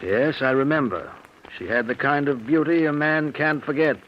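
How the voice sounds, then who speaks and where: An actor playing a stern, dignified judge with formal, pompous manners in 1940s radio drama. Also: male voice, on the high side, normal speed, lightly acted. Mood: stiff, guarded. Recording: muffled noisy old radio recording.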